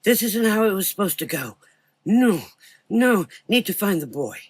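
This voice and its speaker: A man's voice: high-pitched, sing-song, moderate pace, husky, voice pushed up, full character voice. A man voicing a desperate, deranged young coachman with a frantic, obsessive manner in a gothic horror audio drama.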